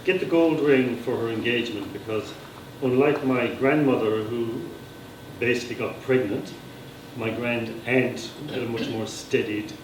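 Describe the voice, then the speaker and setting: A man's voice: mid-range voice, moderate pace. Irish male author reading in an echoey room. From Ireland. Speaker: author reading own book. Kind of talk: live literary reading with informal asides. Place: live reading at a society gathering. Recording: echoey room, distant mic, background noise.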